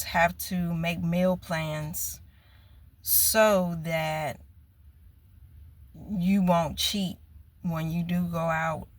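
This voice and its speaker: A woman in her fifties talking slowly and earnestly to her viewers in a casual personal vlog. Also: on the low side. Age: 50s. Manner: slow, reflective, earnest. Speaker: vlogger.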